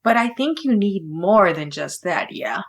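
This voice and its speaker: A woman voicing a kindly, wise spiritual elder in a storybook, calm and welcoming. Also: medium pitch, measured pace.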